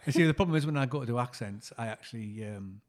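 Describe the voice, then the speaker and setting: Man, medium pitch, podcast co-host, casual chat, informal, teasing. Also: fast.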